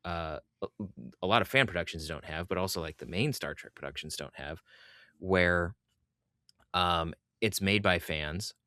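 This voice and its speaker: Deep voiced guy hosting an interview, casual chat, really dry sound no room echo. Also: sharp tone. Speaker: podcast interviewer.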